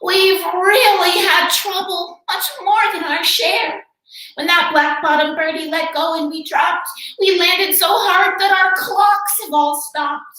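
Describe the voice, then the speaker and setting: A woman puts on a tiny worried mayor, a little man with a very high, bright voice. He is plaintive and pleading in storybook style, heard in a very echoey room. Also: fairly even intonation, takes it slowly, recorded from a distance, exaggerated voice. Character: tiny worried male mayor of speck-sized folk. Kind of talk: storybook read-aloud character.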